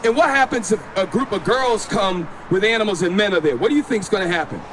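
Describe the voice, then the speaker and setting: A man's voice: high voice, expressive, fast. A man preaching outdoors on a city street, insistently explaining scripture and telling a companion to read. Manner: insistent, instructs a reader to read. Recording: loud street traffic behind. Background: passing vehicles.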